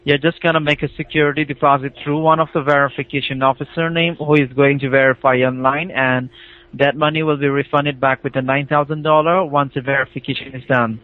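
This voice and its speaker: A man's voice: medium pitch, little pitch movement, a little fast. Insistent Indian man on tinny phone. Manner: insistent, repetitive, procedural. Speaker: phone scam caller. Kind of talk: persuasive phone sales-style explanation. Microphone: telephone call. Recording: tinny, band-limited phone line.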